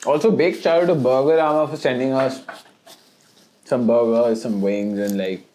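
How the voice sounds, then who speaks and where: A man's voice: mid-range voice, normal speed, slight background noise. A young man from India, hosting a casual chat, telling stories with laughter and frequent swearing. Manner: animated storyteller, laughs and swears often. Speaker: host and club event curator. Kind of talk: casual podcast chat over food.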